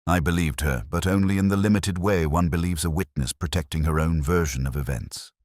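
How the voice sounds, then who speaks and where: A man narrating a first-person detective story in a measured, brooding, literary manner, as a world-weary investigator. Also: deep voice, darkish tone, no echo, clean studio sound. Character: world-weary, reflective male police detective. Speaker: audio drama narrator.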